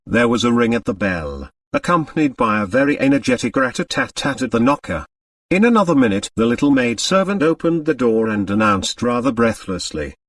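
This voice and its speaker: Male audiobook narrator: expressive scripted storytelling, very varied intonation, dry sound slightly off the mic. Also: medium pitch, no echo, far from the mic.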